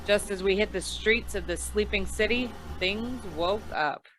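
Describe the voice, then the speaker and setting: A woman's voice: medium pitch, measured pace, fairly bright tone, lightly acted, low-quality recording. A woman playing a wry, hard-boiled insurance investigator, narrating an old-time radio noir in slangy style. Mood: tense, punchy.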